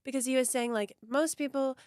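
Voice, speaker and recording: Young woman rambling on a podcast, kinda high voice, lots of "like", dry close mic. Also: steady tone, fairly quick, darkish tone, clear, resonant tone, no echo. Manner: rambling, heavy "like" filler, laughs often. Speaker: podcast guest. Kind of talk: casual podcast chat.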